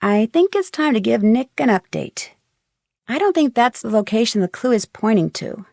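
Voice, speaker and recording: Earnest high-voiced girl detective. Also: big pitch swings, moderate pace, creaky, darkish tone, no echo, studio quality, heavily acted. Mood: pondering. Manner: earnest, thinking aloud. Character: young female amateur sleuth hunting for clues. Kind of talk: scripted video game voice acting.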